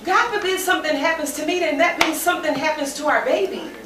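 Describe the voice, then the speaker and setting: Actress as very high-pitched anxious young American woman. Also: fast, natural voice. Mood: anxious, pleading. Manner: earnest, pleading. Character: anxious pregnant young woman pleading with her partner. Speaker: stage actor. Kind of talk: stage play acting. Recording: echoey distant stage recording, clear noise.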